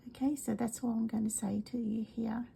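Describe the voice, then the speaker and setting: A middle-aged Australian woman with a congested, cold-worn voice, chatting casually as she demonstrates craft products, rambling with many ums and often dropping to a soft whisper. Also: a little high, level tone, brisk, gravelly, slightly rough. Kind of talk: casual craft video chat.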